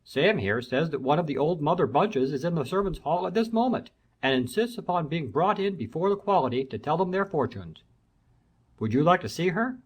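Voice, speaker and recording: A woman voicing a middle-aged colonel in a storybook reading, brisk and commanding. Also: low-pitched, animated, a little mellow, breathy edge, pitched below the performer's own voice. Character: hearty middle-aged military gentleman.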